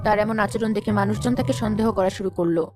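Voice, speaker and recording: A woman's voice: mid-range voice, quick pace, over music. A woman narrating a scripted story.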